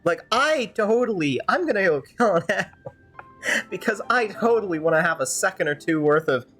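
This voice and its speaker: A woman's voice: medium pitch, animated, fairly quick, a bit bright, soft background music. A woman streamer improvising fan-dub commentary over a game, sarcastic and rambling.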